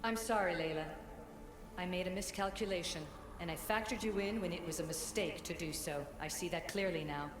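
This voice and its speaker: An actress playing a calm, grave ancient woman guide in a video game, speaking in a measured, solemn way. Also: on the low side, lightly acted. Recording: roomy game audio with music.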